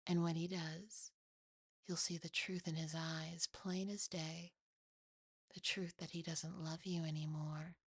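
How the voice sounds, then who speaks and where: A woman voicing a sinister, possessive demon in a hushed, hissing, taunting way for a horror ASMR story. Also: low voice, level tone, breathy edge, no echo, studio quality, exaggerated voice. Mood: sneering. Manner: slow, taunting, seductively cruel.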